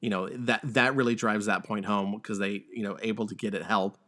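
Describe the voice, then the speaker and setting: A man hosting a podcast, talking casually through a film's plot with a halting, stammering delivery. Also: lowish voice, fairly even intonation, fairly bright tone. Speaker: podcast host. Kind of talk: casual film discussion and plot recap.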